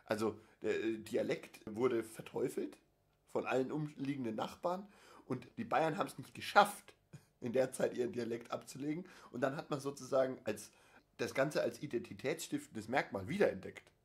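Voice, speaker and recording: A man in a relaxed podcast conversation, his voice sitting at an ordinary middle pitch. He wanders through his points with dry humour, stopping often on fillers and restarts. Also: moderate pace. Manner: rambling, dry jokes, many fillers and restarts. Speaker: podcast co-host. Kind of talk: casual chat.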